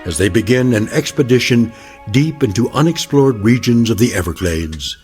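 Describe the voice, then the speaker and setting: An actor playing a solemn narrator of an eerie anthology drama, speaking in a measured, ominous way. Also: male voice, mid-range voice, animated, darkish tone, lightly acted. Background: music bed.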